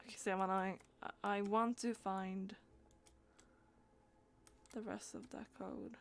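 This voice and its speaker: A woman's voice: medium pitch, fairly even intonation, slow, vocal fry, a little hiss or hum. A woman streaming a game, murmuring her thoughts in loose asides as she plays. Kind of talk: live gameplay, reading dialogue aloud.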